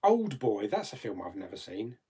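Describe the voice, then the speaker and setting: A man's voice: on the low side, wildly swooping pitch, moderate pace, dark tone, slightly rough. A man hosting a casual live chat, rambling and reading out viewer comments, laughing easily and swearing mildly.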